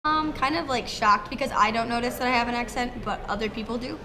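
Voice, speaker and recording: Brief: young Midwestern woman, light higher voice, noise behind. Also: fast, sharp tone. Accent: Midwestern American. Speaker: interviewee. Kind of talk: casual interview answer. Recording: noisy background.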